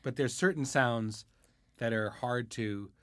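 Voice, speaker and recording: A man with a medium-pitched voice hosts a casual interview. He explains things informally, and the sound is dry with little room echo. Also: slow, a bit bright. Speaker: podcast host, interviewer.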